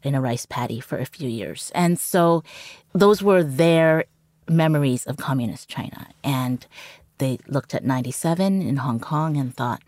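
Middle-aged Canadian woman, Cantonese first language; low, dry and reflective. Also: moderate pace, a little mellow, no room sound. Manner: reflective, frequent "you know". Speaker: journalist guest. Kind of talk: interview conversation.

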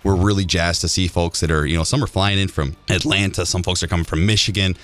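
A man from Illinois with a low-pitched voice, speaking as a musician guest on a radio show. He is chatty and enthusiastic, telling his stories in a casual, conversational way in the studio. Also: steady tone, fast talker, a bit bright, soft background music. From Illinois, United States. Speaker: band musician, interview guest.

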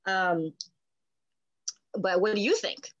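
A woman's voice: medium pitch, expressive, slow, no room sound, highs cut off. A woman pastor co-hosting a Bible study, explaining conversationally and often checking in with "right?".

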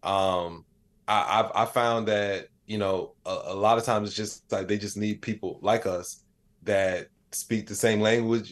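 A man in his late thirties with a fairly low-pitched voice. He talks as an interview guest in a loose, colloquial way, keeping explanations plain-spoken and conversational, sometimes voicing bits of imagined dialogue. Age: late 30s. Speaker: interview guest, AI educator and comedian. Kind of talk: casual conversational interview answers.

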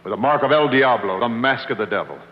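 An actor playing a grim, resolute masked vigilante in solemn 1950s radio drama style. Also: male voice, medium pitch, animated, fast talker, close to an everyday voice. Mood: grim resolve. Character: vengeful masked vigilante hero, grim and resolute young man. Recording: muffled, reverberant old 1950s radio recording.

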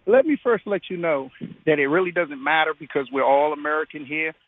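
A man calling in to a radio debate over a thin, tinny phone line, his voice high and husky. He argues forcefully and keeps talking over the other speaker. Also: a little fast, no echo. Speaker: caller.

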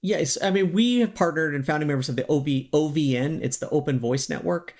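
A man with a somewhat high-pitched voice talks as a podcast guest. He explains his points earnestly, in an informal industry-interview style. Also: brisk, a little mellow. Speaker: podcast guest, synthetic voice company executive.

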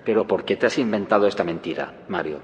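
A man's voice: low-pitched, quick pace, lightly acted. An actor playing a weary, questioning male scientist back from a long absence, earnest and disbelieving, in an audio drama. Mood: indignant, questioning. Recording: reverberant cave with noisy ambience.

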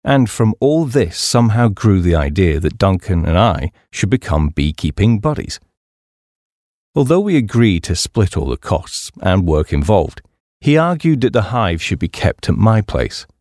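English man, low-pitched voice, animated intonation, measured audiobook storytelling, dry recording. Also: dry recording. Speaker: audiobook narrator. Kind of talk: scripted audiobook narration of a humorous memoir.

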